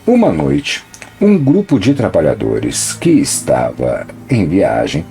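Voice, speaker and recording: A man narrating a scripted documentary in a measured, dramatic storytelling manner. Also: sits low, wildly swooping pitch, creaky, warm tone, faint music behind.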